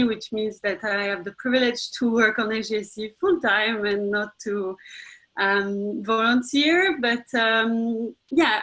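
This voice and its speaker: A woman's voice: on the high side, fairly bright tone, rough recording. French-accented woman presenting on a video call. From France. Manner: enthusiastic, many fillers. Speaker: executive director. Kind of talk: webinar presentation.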